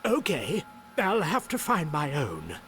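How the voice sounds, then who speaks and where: A man's voice: a little high, wildly swooping pitch, takes it slowly, fairly bright tone, slight background noise, exaggerated voice. An actor playing a flustered, scatterbrained scholarly man in a video game, dithering, stammering and muddling his words. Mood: resigned. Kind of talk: video game character acting.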